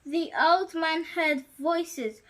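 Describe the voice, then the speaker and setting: A woman's voice: extremely high pitch, drawn-out words. A female host reading a story aloud expressively, with exclaimed asides to the viewers. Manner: animated, exclaiming reactions.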